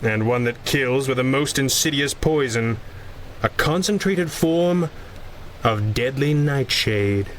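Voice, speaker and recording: An actor playing a genteel, shrewd gentleman sleuth, polite and measured but persistent, in 1930s-style radio drama. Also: medium pitch, natural voice. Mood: grave certainty.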